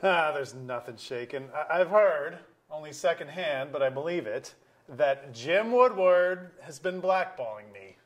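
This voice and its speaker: A man acting a wry, aggrieved, out-of-work middle-aged lawyer in a staged comedy-drama, halting and self-deprecating. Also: on the high side, lively intonation. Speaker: actor in a staged reading. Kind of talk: theatrical dialogue reading. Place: museum auditorium stage.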